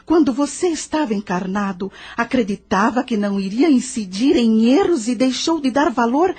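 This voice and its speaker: A Brazilian woman acting a patient, moralizing spirit mentor in an audio drama, measured, probing and didactic. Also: a little high, lively intonation, darkish tone, husky. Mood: probing, firm.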